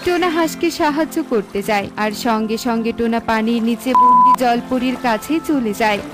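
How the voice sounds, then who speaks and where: A woman's voice: fairly high pitch, huge pitch swings, brisk. A woman reading scripted narration for a children's cartoon story. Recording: music playing behind the voice.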